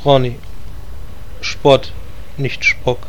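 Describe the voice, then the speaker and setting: A man's voice: mid-range voice, level tone, very slow, fairly bright tone, lo-fi sound. A man playing an exasperated, bossy raid leader in a parody fantasy radio play. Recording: clear background noise.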